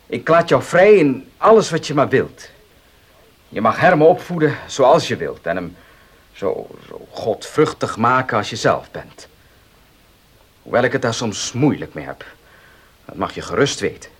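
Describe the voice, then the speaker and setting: An actor in a Dutch radio play playing a proud, domineering farmer and husband who speaks emphatically. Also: male voice, medium pitch, sing-song, a little slow, pauses often, husky. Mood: firm, insistent. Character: proud, domineering gentleman farmer, loving but stubborn husband.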